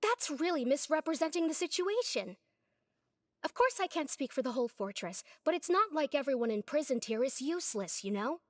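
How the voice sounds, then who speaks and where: High-voiced prim young helper. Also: female voice, big pitch swings, brisk, no room sound, clean studio sound. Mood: indignant. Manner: polite, earnest, lecturing. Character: earnest, prim young woman, eager amateur medic's helper. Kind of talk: video game dialogue acting.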